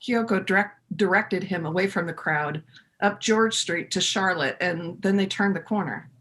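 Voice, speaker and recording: A woman reading as the reflective first-person narrator of a readers theater piece, in measured short phrases. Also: lowish voice, a bit bright, close to an everyday voice.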